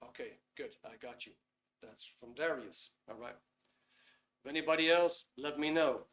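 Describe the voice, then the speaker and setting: A man with a Dutch accent hosting a live online webinar in a casual, chatty way, often pausing with ums. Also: fairly high pitch, fairly bright tone, a little hiss or hum. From Netherlands. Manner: chatty, reads out chat comments, frequent ums. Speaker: webinar host.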